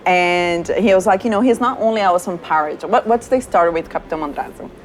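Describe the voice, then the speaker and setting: Cheerful Brazilian-accented woman, low-pitched. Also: fast, soft background music, poor audio. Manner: cheerful, laughs often, reads out viewer questions. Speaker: product manager hosting a community Q&A. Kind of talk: casual video chat with a colleague.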